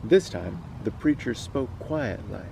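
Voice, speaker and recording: A man's voice: mid-range voice, lively intonation, unhurried, vocal fry, mellow, a little hiss or hum. A man hosting a casual read-aloud, moving between storytelling and chat. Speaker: host reading stories aloud.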